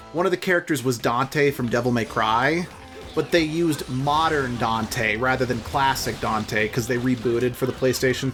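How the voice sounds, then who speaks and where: Man, casual gaming co-commentator, mid pitch, long-winded explainer, faint music behind. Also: a bit bright. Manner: explains fan trivia and opinions at length. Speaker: let's play co-commentator.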